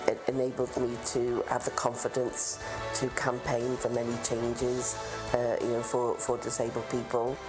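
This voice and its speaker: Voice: mid-range voice, completely flat delivery, normal speed, music in the background, rough recording. A graduate speaking earnestly about their university and their campaigning. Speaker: alumna or alumnus interviewee. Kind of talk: testimonial.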